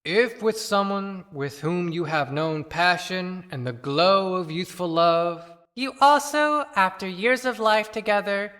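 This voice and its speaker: A man playing a serene, long-married lover after death in a lyrical, measured audio-play monologue. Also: high voice, sharp tone. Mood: tender, serene. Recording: long cavernous reverb.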